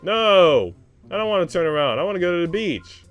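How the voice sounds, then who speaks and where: A man voicing an eager, tactless little girl in a visual novel, with a whiny, excitable, sweet manner. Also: sits high, fairly quick, faint music behind, pitched above the performer's own voice. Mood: insistent.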